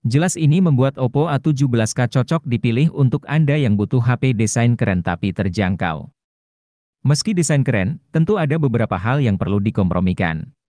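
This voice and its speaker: A man's voice: medium pitch, expressive, fairly quick, warm tone, no echo, studio quality. A man reads a formal, informative scripted voice-over for a gadget review. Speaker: narrator of gadget review videos. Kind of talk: scripted narration.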